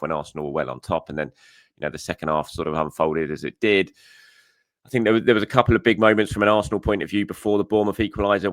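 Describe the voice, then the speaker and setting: Croaky low-voiced man talking fast. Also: dry recording, studio quality. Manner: frank, conversational, repeats words for emphasis. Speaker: football show host. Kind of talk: solo reaction commentary.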